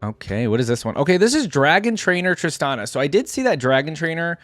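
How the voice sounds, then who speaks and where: A man's voice: fairly high pitch, very animated, fast, a bit bright, no echo, clean studio sound, soft background music. A young man giving casual, laid-back reaction commentary, thinking aloud as host.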